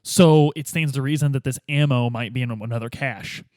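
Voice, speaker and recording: A man's voice: mid-range voice, brisk, darkish tone, no echo, studio quality. A man improvising as a detective in a tabletop game, casual, hesitant and slangy. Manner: hesitant, slangy, laid-back. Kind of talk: casual improvised role-play.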